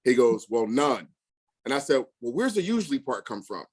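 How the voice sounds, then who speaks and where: A man's voice: mid-range voice, big pitch swings, moderate pace, darkish tone, breathy edge. A man talking casually and bluntly, full of slang and emphasis, acting out past conversations in quotes as he tells stories. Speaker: real estate investor.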